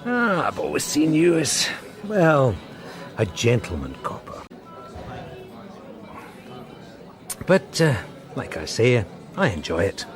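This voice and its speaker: Radio drama: down-to-earth detective sergeant, blokey, very varied pitch, busy background chatter. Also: male voice, very animated, unhurried. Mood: chatty. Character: cheery, down-to-earth male police detective sergeant.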